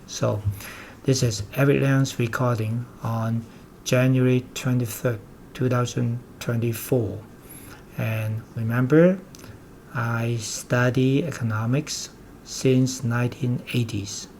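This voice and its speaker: A man's voice: medium pitch, steady tone, slow pace, darkish tone, slight background noise. Emphatic older Hong Kong man lecturing. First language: Cantonese. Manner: emphatic and repetitive, with blunt warnings. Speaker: investment commentator. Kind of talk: lecturing market commentary.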